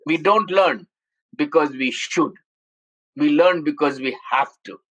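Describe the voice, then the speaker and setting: A man's voice: a little high, a little slow, a bit bright, husky, poor audio. A man moderating an online panel discussion over a video call, speaking emphatically and repeating his points.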